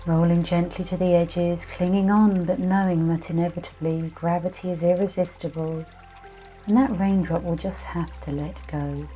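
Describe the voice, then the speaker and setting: Soothing, mellow woman guiding meditation. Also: on the low side, fairly even intonation. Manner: gentle, soothing, unhurried hypnotic delivery with many pauses. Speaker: meditation guide. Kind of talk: guided meditation and visualization narration. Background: soft music with trickling, dripping water.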